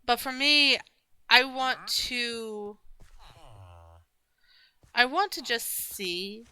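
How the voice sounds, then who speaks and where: A woman's voice: fairly high pitch, very slow, sharp tone. A young woman chatting casually and rambling while she plays a game on stream, with many restarts and fillers. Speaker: gaming streamer.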